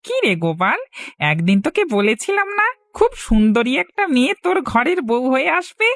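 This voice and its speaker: A playful villager from a cartoon tale, voiced by a woman. The voice is fairly high and very animated, close and dry, with a teasing temper. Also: normal speed, dry recording, exaggerated voice. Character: playful, teasing village acquaintance who predicted a marriage. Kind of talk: Bengali moral cartoon voice acting.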